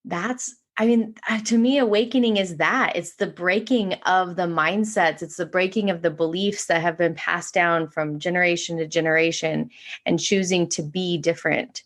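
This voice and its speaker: A woman with a fairly low voice talks earnestly about spiritual awakening. She speaks in long flowing runs and often fills with "like". It is a casual, heartfelt conversation. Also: steady tone, normal speed. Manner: earnest, flowing, many "like" fillers. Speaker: podcast guest, spiritual teacher.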